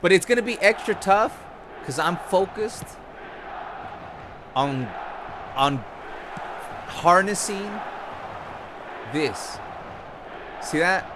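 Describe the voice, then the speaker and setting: A man's voice: high voice, slow pace, pauses often, a crowd in the background, background noise. Chatty gamer man coaching while he plays. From Costa Rica. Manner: casual and chatty, coaching the viewers. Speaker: gaming streamer. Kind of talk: live gameplay commentary. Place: home-style room, not his own home.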